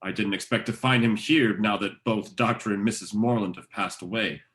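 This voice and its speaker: A man's voice: lowish voice, fairly even intonation, moderate pace, fairly bright tone, close to an everyday voice. A man playing a courteous, apologetic stranger posing as a doting father in an old-time radio drama. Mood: falsely polite.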